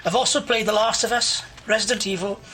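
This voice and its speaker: A man's voice: high voice, steady tone, fairly quick, pushed and bright, slight background noise. A man playing a lazy, flippant slacker housemate in an audio drama. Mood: mock hurt. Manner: flippant, mock-indignant.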